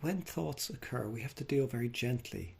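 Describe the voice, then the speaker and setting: Guy teaching meditation, husky creaky voice, gentle and unhurried w long pauses. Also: mid-range voice, expressive. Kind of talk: guided body scan meditation.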